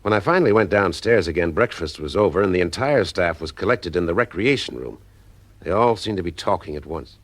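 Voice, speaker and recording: An actor playing a wry but sympathetic private eye in an audio drama detective story. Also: male voice, low voice, expressive, a little fast. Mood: wry narrating. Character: male private eye narrating his case, wry, sympathetic. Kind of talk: audio drama detective acting with first-person narration.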